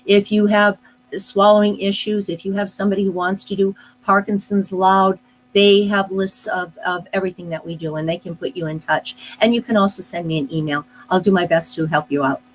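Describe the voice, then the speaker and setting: Middle-aged woman guest, thinking aloud, mid-pitch, faint hiss behind. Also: steady tone, poor audio. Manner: thoughtful, with frequent "uh" pauses and restarts. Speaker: speech-language pathologist guest. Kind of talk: live online interview, explaining casually.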